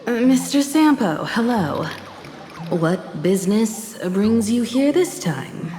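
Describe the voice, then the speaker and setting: A woman's voice: medium pitch, big pitch swings, slow pace, dark tone. A woman voicing a polite, earnest young companion in a video game, courteous and questioning. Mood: polite, wary. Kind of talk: video game character acting. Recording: echoey hall reverb, music behind. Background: game music.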